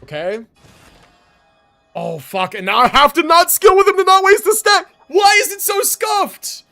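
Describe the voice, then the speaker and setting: A man's voice: extremely high pitch, expressive, a little slow, vocal fry, pushed and bright. A man doing casual live game commentary, thinking aloud in slangy, sweary language. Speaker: game livestreamer. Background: game music.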